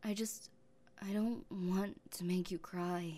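A young woman playing an obsessive, possessive young woman who has taken the one she loves, speaking softly and haltingly and often dropping into whispers. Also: on the low side, fairly even intonation. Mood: tender. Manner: soft-spoken, halting, drifting into whispers. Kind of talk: audio roleplay.

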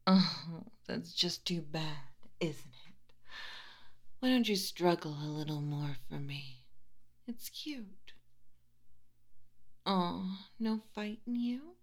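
A woman's voice: expressive, takes it slowly, long pauses, full character voice. A woman playing a whispering, teasing tailed spirit. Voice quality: soft whispery voice with frequent low laughs. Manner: mocking, playful, commanding. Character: teasing dominant female tailed spirit, keeper of a library. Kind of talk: whispered audio roleplay.

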